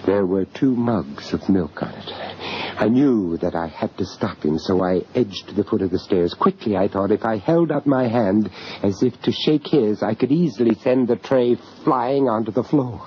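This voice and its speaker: An actor playing an earnest, courteous gentleman investigator recounting a horror tale in an old radio drama, sometimes hesitant and always dramatic. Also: lowish voice, expressive, normal speed, lightly acted. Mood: ominous narration. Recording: muffled, noisy old radio drama recording.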